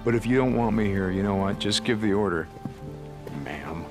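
An American man playing a gruff, sardonic outlaw biker turned corporal in a video game, terse and halting, with a gravelly voice. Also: on the low side, music in the background, natural voice. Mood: bitter. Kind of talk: video game cutscene acting.

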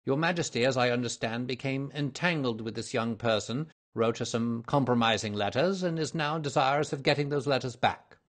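A man voicing a cool gentleman detective in a storybook, with a dry, brisk, languidly superior manner. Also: medium pitch, pitched above the performer's own voice, natural voice. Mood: musing, brisk.

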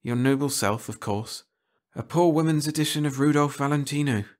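A tough woman agent, read by a man in an audiobook story. She is dry and sardonic, scolding briskly and unimpressed. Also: on the high side, darkish tone, voice pushed up, lightly acted.

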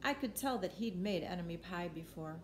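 A woman's voice: fairly low pitch, expressive, normal speed. Middle-aged woman reading a storybook, roomy sound. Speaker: storybook reader. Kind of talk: expressive children's picture book read-aloud. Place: living room, indoors. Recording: roomy home recording with background noise.

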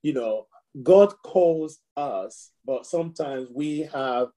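A man's voice: medium pitch, animated, takes it slowly, mellow. A middle-aged man, raised in Nigeria, a priest speaking as a guest on a video call, reflective, with many fillers and restarts. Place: home room with shelves.

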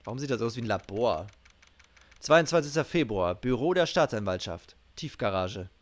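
A man's voice: mid-range voice, expressive, moderate pace. A middle-aged man doing let's play commentary and reading game dialogue aloud. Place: indoors at a streaming setup. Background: game music.

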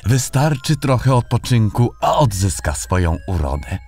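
A man voicing a bearded, stooped old duke, a father making smooth excuses in a storybook tale. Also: medium pitch, sing-song, normal speed, darkish tone, faint music behind, voice pushed up. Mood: placating.

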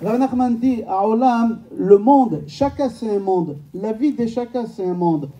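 High, piercingly bright male rabbi, distant mic. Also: expressive, measured pace. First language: Hebrew. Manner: rhetorical questions, emphatic repetition, joking. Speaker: rabbi giving a lecture. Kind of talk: informal religious lecture to a live audience. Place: medium-sized indoor synagogue function room.

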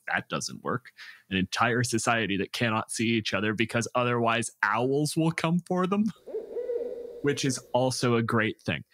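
A man talking casually as a podcast co-host, explaining game creatures in an animated way. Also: fairly low pitch, dry recording, studio quality. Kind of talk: casual chat about tabletop game monsters.

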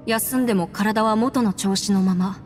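An actress voicing a steady, thoughtful young female companion in a game, speaking in an even, matter-of-fact way. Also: medium pitch, moderate pace, close to an everyday voice. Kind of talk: game voice acting. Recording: roomy game audio with music.

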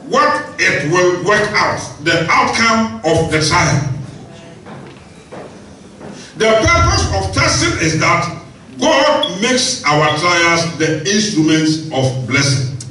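A man's voice: high voice, pushed and bright, slight background noise. A middle-aged man preaching a sermon into a handheld microphone in a large church hall, emphatic, repeating key phrases and asking the congregation questions. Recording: very echoey church hall, mic at a distance.

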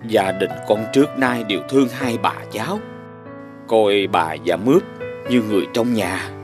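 A male actor voicing a weakened, kind and dutiful village father, earnest and weary, in an animated fairy tale. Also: on the low side, normal speed, close to an everyday voice. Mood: humble, resolute. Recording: music under the voice.

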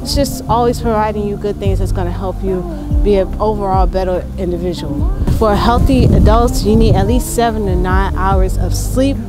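A woman's voice: medium pitch, normal speed. A young woman fitness coach giving workout instructions outdoors while demonstrating exercises, encouraging and practical. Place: outdoor park road. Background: loud background music.

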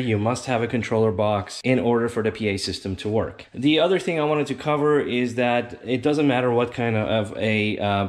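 A man giving an informal step-by-step product demonstration, explaining an installation plainly as a company representative. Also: on the low side. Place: indoors at a tabletop demonstration bench.

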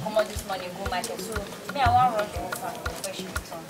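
A woman's voice: mid-range voice, fast, over loud music, close to an everyday voice. A woman with a Nigerian accent playing a modest, devout young village woman in a film drama. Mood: casual, questioning. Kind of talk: Nigerian film acting in Pidgin dialogue.

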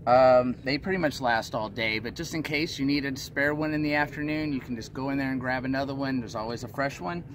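A man's voice: medium pitch, a little fast, hardly any pauses, a little hiss or hum. A man in his thirties casually explaining things as an interview guest outdoors. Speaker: guest, van-life traveler and student. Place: outdoors beside a parked van.

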